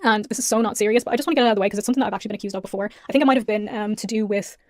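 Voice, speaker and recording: A woman's voice: mid-range voice, a little mellow, no room sound, studio quality. A young woman with an Irish accent, earnestly explaining and apologizing in a video of her own. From Ireland. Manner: earnest, apologetic, rapid talk. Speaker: YouTuber being reacted to.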